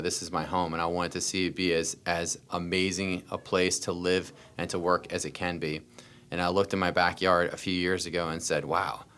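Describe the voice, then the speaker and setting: A man's voice: sits low, a bit bright. An American man telling personal stories as a podcast interview guest, earnest and repeating phrases for emphasis. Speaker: interview guest, startup founder.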